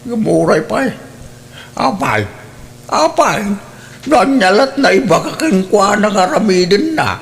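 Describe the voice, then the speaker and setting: A man's voice: sits high, big pitch swings, a little slow, vocal fry, full character voice. A man acting as a fussy, grumbling old man in an Ilocano radio drama. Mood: complaining.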